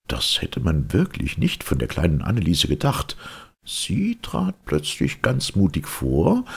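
Very deep, gravelly man telling fairy tales. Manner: theatrical, expressive. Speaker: audiobook reader. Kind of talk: animated fairy-tale storytelling.

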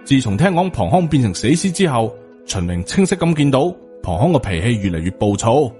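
A man's voice: medium pitch, very animated, a little fast, mellow. Male Hong Kong Cantonese storyteller over music. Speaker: audiobook narrator. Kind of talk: scripted narration of supernatural horror fiction.

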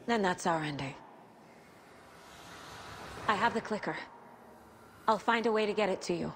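An actress playing a determined young female detective who speaks in a steady, resolute, reassuring way. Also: medium pitch, normal speed, natural voice. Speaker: game protagonist. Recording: noisy game scene.

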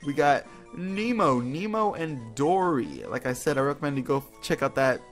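A man with a fairly high voice gives casual, playful commentary on a video game. He rambles in slangy phrases and often repeats himself. Music plays behind him. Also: animated, moderate pace. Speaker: host of a video game playthrough.

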